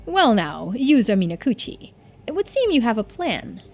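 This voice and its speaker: A woman's voice: a little high, sing-song, moderate pace, darkish tone. A woman voicing a polite, composed young video game character who speaks in a formal, precise way. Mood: intuitive. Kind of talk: video game dialogue read-aloud.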